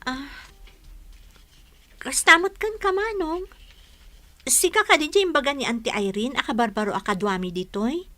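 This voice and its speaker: A woman's voice: high-pitched, moderate pace, pauses often, a bit bright, no echo. A woman playing a friendly, chatty young student in a radio drama. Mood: friendly, curious. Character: friendly, chatty young female student working part-time.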